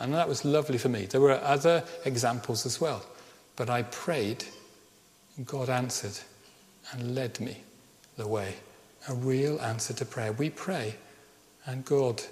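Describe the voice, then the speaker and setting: Middle-aged vicar in echoing church. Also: male voice, mid-range voice, darkish tone. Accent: Birmingham with Welsh Ceredigion touches. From Birmingham, England. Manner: earnest storytelling, slows for emphasis on key phrases. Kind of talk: sermon with personal story. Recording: reverberant church hall.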